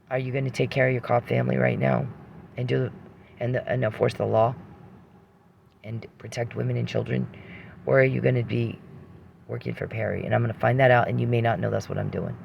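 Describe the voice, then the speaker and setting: A man giving an unscripted personal monologue, emphatic and repetitive, halting and restarting and swearing freely. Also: mid-range voice, vocal fry, lo-fi sound, slight background noise.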